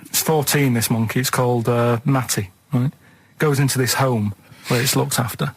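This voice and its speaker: Male radio co-host, medium pitch, casual rambler, matter-of-fact delivery with halting, vague phrasing. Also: steady tone, husky, poor audio. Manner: halting, matter-of-fact, vague storytelling full of tags like "right?". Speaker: radio show co-host telling odd stories.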